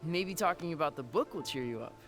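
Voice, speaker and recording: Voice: medium pitch, animated, a little fast, a bit bright, soft background music, lightly acted. An actor playing a cheerful, cheeky teenage boy in a video game, breezy and informal. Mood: teasing. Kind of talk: video game cutscene acting.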